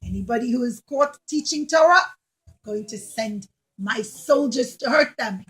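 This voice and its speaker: A woman voicing an imperious, jealous male king in a children's puppet show, declaiming loudly and slowly. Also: on the high side, animated, husky. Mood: stern, threatening. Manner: loud, slow, declaiming.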